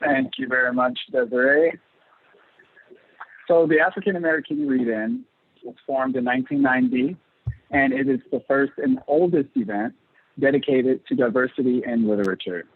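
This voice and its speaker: A husky-voiced man of medium pitch introduces an event from a script. He sounds thin and narrow, as if through a phone or video call. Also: moderate pace. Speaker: event host, marketing manager.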